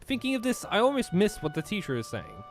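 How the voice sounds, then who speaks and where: A man's voice: sits high, sing-song, fast talker, music in the background. A man reading a visual novel aloud and chatting casually as he plays. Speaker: player and narrator of a game playthrough.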